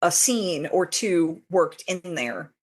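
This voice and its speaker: A woman's voice: lowish voice, lively intonation, takes it slowly, husky. A woman talking casually as an interview guest about her own writing. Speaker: podcast guest, fanfiction author.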